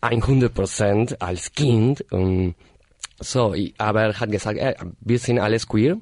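A man in his thirties from Argentina, a guest speaking halting, with many fillers and self-corrections in a casual interview. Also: lowish voice, darkish tone, no echo, studio quality. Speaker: guest, party organizer.

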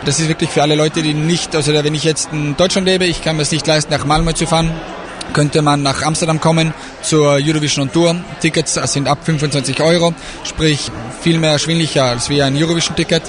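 A man from Austria talks up his events in a keen, filler-heavy interview at a trade fair. His voice sits a touch high. A crowd murmurs loudly behind him. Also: little pitch movement. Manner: eager, fast talk with many fillers. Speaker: event organizer.

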